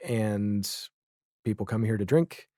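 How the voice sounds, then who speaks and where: A man's voice: fairly low pitch, slow pace, mellow, no room sound, clean studio sound. An American man chatting casually as an interview guest, wry and opinionated, wandering off on tangents. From Nashville area, US. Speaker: podcast guest, audio drama creator.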